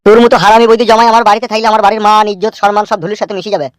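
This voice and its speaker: A man's voice: sits high, fairly even intonation, rapid-fire, dry recording, studio quality. A man voicing a crude, threatening older father-in-law in a cartoon, berating with a processed voice. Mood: angry.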